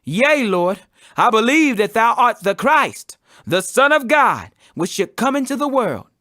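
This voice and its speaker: A man's voice: extremely high pitch, very animated, measured pace, sharp tone, no room sound, studio quality, voice pushed up, full character voice. A man voicing a grieving, devout woman who pleads earnestly in a dramatized scripture reading.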